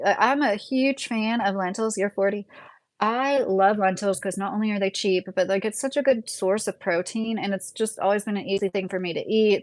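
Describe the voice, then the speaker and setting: A woman's voice: mid-range voice, no room sound. Midwestern American woman chatting casually. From Southern Indiana, USA. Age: late 30s. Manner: rambling, conversational, breaks into chuckles. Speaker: YouTube livestream host. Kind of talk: casual live chat, reading and answering viewer comments.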